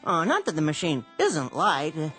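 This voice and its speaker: A man's voice: on the high side, huge pitch swings, normal speed, pushed and bright, faint music behind, heavily acted. A man playing a meek, fussy little salesman in a radio drama, polite, primly wordy and full of hesitant stammers. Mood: bewildered.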